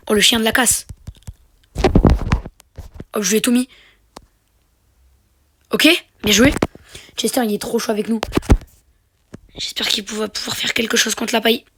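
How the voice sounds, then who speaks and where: A young man commenting on his own mobile gameplay, with a sore throat and a slight cold, switching between excited slangy cheering and quiet muttering under his breath. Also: sits high, moderate pace, pauses often, noisy background. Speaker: mobile game youtuber.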